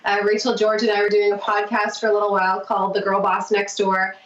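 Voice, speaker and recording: A woman's voice: mid-range voice, monotone, quick pace, a bit bright, slight background noise. A woman talking as a guest on a podcast, gushing, grateful and talkative. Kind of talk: casual interview chat. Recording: distant, roomy, muffled remote audio.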